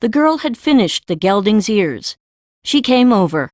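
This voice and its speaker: A woman's voice: mid-range voice, sing-song, a little slow, darkish tone, dry recording, clean studio sound. A woman reading scripted story narration for an audiobook.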